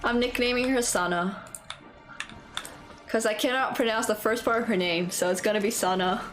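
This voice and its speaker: A woman's voice: a little high, moderate pace, a bit bright, soft background music. A woman chatting casually on a stream while playing, playful, slangy and self-deprecating. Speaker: live streamer.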